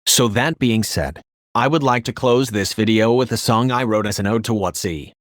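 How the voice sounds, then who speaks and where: A synthetic text-to-speech male voice reading scripted commentary as a video host, in a flat, measured way. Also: lowish voice, no room sound, studio quality. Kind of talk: scripted narration.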